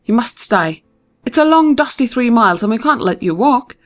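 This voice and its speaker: A man's voice: very high voice, animated, normal speed, voice pushed up. A male narrator voicing a fussy, hot-tempered older farm aunt who scolds and exclaims in folksy speech. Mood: hospitable.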